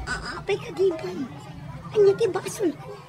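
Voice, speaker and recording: A woman's voice: very high voice, animated, normal speed, over music, crowd noise. A woman playing a frightened, meek young captive in a radio drama, timid and plaintive, with an Ilocano Filipino accent. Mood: nervous. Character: frightened, meek young female captive pleading with her captor.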